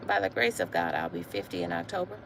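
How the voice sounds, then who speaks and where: A woman in her late forties talks casually to her livestream viewers, often repeating her words. Her voice sits low with a gravelly edge. There is faint wind noise from her walk outdoors. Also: very animated, fast talker, poor audio. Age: 40s. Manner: chatty, repeats phrases, addresses viewers as y'all. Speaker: livestream host.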